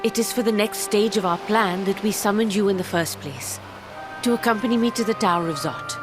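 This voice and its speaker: A woman's voice: medium pitch, fairly quick, lightly acted. An actress playing a determined, composed woman researcher in a fantasy video game, speaking formally and precisely. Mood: composed, resolute. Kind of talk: video game cutscene acting. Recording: voice over game music.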